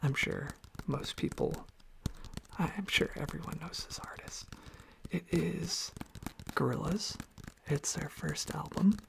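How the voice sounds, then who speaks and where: A breathy whisper with a heavy creak at its edges, at a middling pitch. The delivery is sleepy, gentle and casual, an ASMR ramble that circles back over the same phrases. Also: takes it slowly, long pauses. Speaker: ASMR video creator. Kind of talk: ASMR, softly rambling casual chat while tapping on objects. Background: tapping and clicking on objects.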